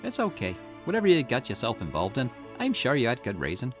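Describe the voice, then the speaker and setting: A fierce young woman from a visual novel game, acted out by a man, tough and grieving. The delivery is emphatic and indignant, with pitch that swings widely over background music. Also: huge pitch swings, fast. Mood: defiant resolve.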